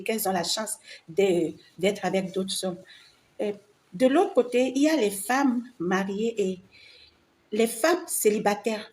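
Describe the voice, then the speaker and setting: A woman, a French speaker, giving an informal opinion monologue to other women, emphatic and repeating phrases for emphasis. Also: medium pitch. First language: not French. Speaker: vlogger. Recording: noticeable background ambient noise.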